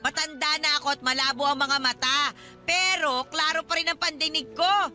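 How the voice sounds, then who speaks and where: A woman's voice: high voice, fairly even intonation, moderate pace, pushed and bright, faint music behind. A woman with a Filipino accent playing an elderly, nosy, money-grasping godmother in a radio drama, wheedling and reproachful. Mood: indignant, accusing. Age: older.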